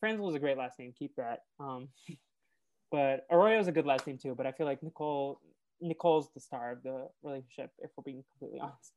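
A woman's voice: extremely low pitch, a little fast, dark tone. A woman co-hosting a podcast in casual chat, with a nasal voice, chatty and trailing off into laughs. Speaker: podcast co-host.

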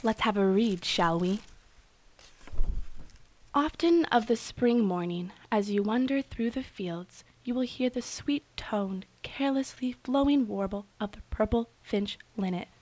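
A woman's voice: fairly high pitch, lively intonation, unhurried, a little mellow, no room sound. An actress playing a sweet, genteel young chorus girl in a radio drama, speaking politely. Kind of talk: scripted radio drama acting.

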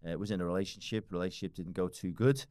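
Deep fast-talking Liverpool man. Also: steady tone, a little mellow, no echo, studio quality. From Liverpool, England. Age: 40s. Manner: rambling, many restarts and fillers, swears casually. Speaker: podcast guest. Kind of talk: casual podcast conversation.

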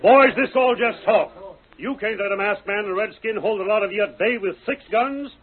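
Man playing crooked cowboy, high voice, blustering, desperate, excitable, tinny vintage radio. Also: brisk, noisy background, natural voice. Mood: blustering, defiant. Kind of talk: 1930s-40s radio western acting. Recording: tinny old radio broadcast, crowd murmur.

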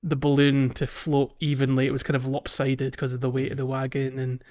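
A man's voice: medium pitch, completely flat delivery, a little fast, a little mellow, dull audio. A man co-hosting a gaming podcast in casual chat, recapping things in a chatty, descriptive way. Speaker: podcast host.

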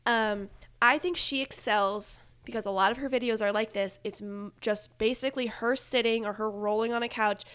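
A woman with a fairly high voice talks casually, as a guest on a chat show would. Her phrasing is loose and conversational, sprinkled with "like". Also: steady tone, normal speed, fairly bright tone, clean tone. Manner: conversational, heavy use of "like". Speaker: podcast guest presenting ranked music video picks. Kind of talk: casual chat about pop music.

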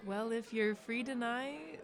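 A woman's voice: fairly high pitch, takes it slowly, some chatter behind. A woman playing a friendly, easygoing teenage girl with a casual manner in a fiction podcast. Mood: tentative. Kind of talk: audio fiction podcast acting.

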